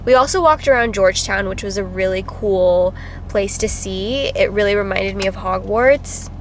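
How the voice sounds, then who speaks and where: A woman's voice: mid-range voice, moderate pace, a little hiss or hum, low-quality recording. A woman, a travel vlogger chatting casually to the camera, a little congested from a cold, with lots of "like" and "um". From Philippines. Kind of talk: casual vlog talk to camera. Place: driving around and outdoors in summer.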